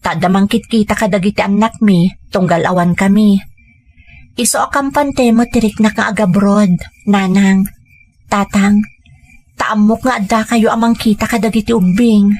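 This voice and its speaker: A woman's voice: mid-range voice, steady tone, normal speed, a little mellow, close to an everyday voice. A woman with an Ilocano accent playing a humble, determined married daughter and mother in an audio drama. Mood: earnest, reassuring.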